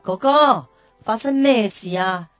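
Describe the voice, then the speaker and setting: Sassy little schoolkid in a radio play, highish voice. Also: female voice, takes it slowly, soft background music. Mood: curious, sympathetic. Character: sharp-tongued, self-admiring, cheeky young schoolchild. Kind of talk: childlike radio drama acting.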